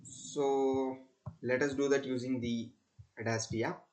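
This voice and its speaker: A man with a mid-range voice presents a software demonstration. He narrates each step in turn, like a student showing an assignment. His phrasing is halting and repetitive, built around plain instructions. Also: medium pitch, level tone. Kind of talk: step-by-step screen tutorial narration.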